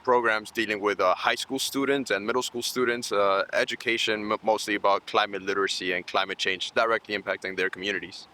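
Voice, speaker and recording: A man's voice: fairly low pitch, fairly even intonation, fairly quick, hardly any pauses, sharp tone, a little hiss or hum. A man in his thirties from Colombia, raised in Miami, giving earnest, explanatory answers in a conversational interview. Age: 30s. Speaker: nonprofit program manager and interviewee.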